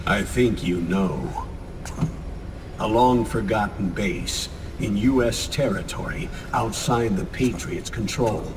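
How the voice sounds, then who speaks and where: A grave older military commander in a game. His low voice moves slowly and solemnly, set slightly away from the mic amid rumbling vehicle noise. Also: animated, long reverb tail, recorded from a distance. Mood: grave urgency. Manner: measured, solemn briefing. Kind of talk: dramatic video game cutscene acting.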